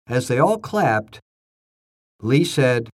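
A man's voice: medium pitch, big pitch swings, slow. A man narrating a scripted inspirational story from his own memories.

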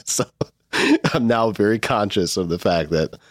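A man's voice: on the low side, measured pace, no echo, studio quality. A man with a Midwestern accent from Michigan hosting a casual legal podcast, easygoing and joking. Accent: Midwestern American. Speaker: podcast host.

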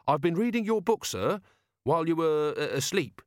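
A man's voice: a little high, big pitch swings, moderate pace, dry recording, studio quality, pitched above the performer's own voice. A man voicing an earnest young soldier who explains things in an eager, deferential, polite way.

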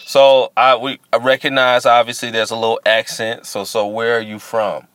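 A man's voice: mid-range voice, level tone, normal speed, a bit bright, no room sound. A man hosting a casual podcast chat, playful and slangy, who often repeats his phrases. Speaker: podcast host.

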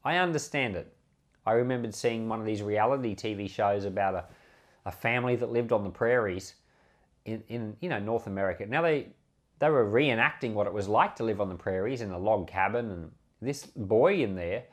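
A man's voice: on the low side, a little fast. A man with an Australian accent, a pastor giving an informal sermon, explaining scripture conversationally and posing rhetorical questions. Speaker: pastor and Bible podcast host.

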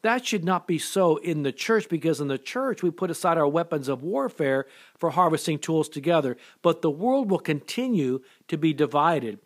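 A man's voice: a little high, lively intonation, normal speed. A middle-aged man preaching an earnest sermon, often restarting and repeating words as he goes. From born in Japan, raised in America. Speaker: pastor.

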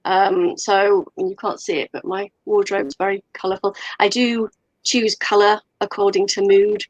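A woman's voice: mid-range voice, flat delivery, unhurried, dry recording, muffled recording. A woman from Wales, a guest in a casual chat, talking thoughtfully with hesitant fillers. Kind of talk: casual video-call chat.

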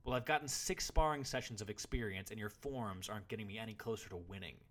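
A man's voice: lowish voice, big pitch swings, fairly quick, creaky, a bit bright, husky, pitched above the performer's own voice, full character voice. A man voicing a proud, frustrated boy warrior in training, arguing indignantly in an audiobook story. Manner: indignant, argumentative.

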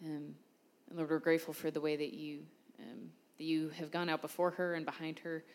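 A woman's voice: low-pitched, flat delivery, fairly quick. A woman hosting church testimonies, introducing speakers and praying, with many filler ums. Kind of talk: introductions and prayer.

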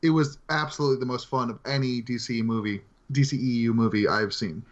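Regular guy on a movie podcast, medium pitch, casual chat, kinda lo-fi mic. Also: fast talker. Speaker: podcast panelist. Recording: slightly dull lo-fi mic.

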